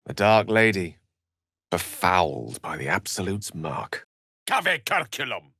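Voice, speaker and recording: A man's voice: deep voice, huge pitch swings, slow pace, pushed and bright, slightly rough, no echo, clean studio sound, exaggerated voice. A man voicing an eloquent, bookish wizard in a video game, articulate and theatrical, with ornate scholarly phrasing and a wry streak. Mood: dramatic incantation. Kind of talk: scripted video game character dialogue.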